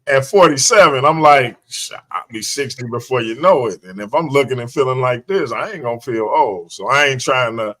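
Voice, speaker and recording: A man's voice: mid-range voice, fairly bright tone. A man in his late forties talking casually on a live-streamed show, reflective, using street slang and thinking aloud. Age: 40s. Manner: reflective, talks things through, street slang. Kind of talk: casual chat. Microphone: live stream mic.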